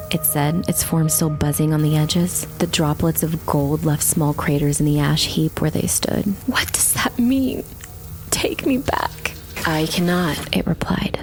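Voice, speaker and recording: A woman narrating a scripted dark fantasy audiobook in a dramatic storytelling style. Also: low-pitched. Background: faint music.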